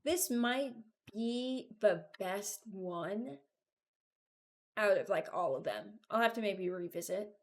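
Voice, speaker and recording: A woman giving informal, halting commentary as a video creator, thinking aloud while she ranks things. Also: mid-range voice, lively intonation. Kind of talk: casual commentary, ranking game voice lines.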